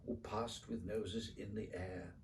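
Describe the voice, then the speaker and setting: A man reading a children's poem as a dramatic storyteller, with rhythmic, theatrical, expressive phrasing. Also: lowish voice, slow, distant mic, a little hiss or hum. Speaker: poetry reader.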